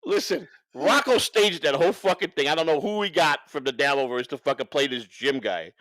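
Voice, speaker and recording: Higher-voiced man ranting, profane, dry close sound. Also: animated, fairly quick, a bit bright, no echo. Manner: profane, rambling, repeats himself for emphasis. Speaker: livestream co-host. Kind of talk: unscripted livestream rant and banter.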